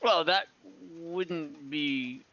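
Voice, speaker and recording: Bright-voiced man running a tabletop game. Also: fairly high pitch, muffled recording. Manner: halting, informal. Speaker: game master. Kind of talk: improvised tabletop game narration.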